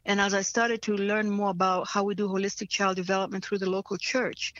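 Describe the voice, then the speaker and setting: A woman's voice: medium pitch, level tone, fairly quick, a bit bright, no room sound, lo-fi sound. A woman from Africa, a nonprofit leader, answering interview questions earnestly and often checking in with "right?".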